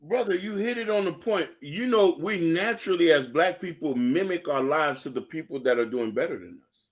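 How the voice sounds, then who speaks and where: Male podcast host, fairly high voice, emphatic opinionated delivery aimed straight at listeners. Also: lively intonation, a little fast. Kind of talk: opinionated panel talk with rhetorical questions.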